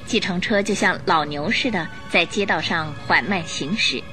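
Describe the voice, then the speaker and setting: A woman narrating a radio drama in an expressive, scripted storytelling style. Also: on the low side, normal speed, music in the background.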